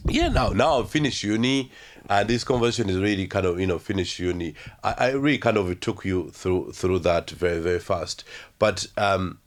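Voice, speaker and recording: A young man with a Ugandan accent, telling his story as an interview guest in a halting, reflective way full of fillers. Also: deep voice.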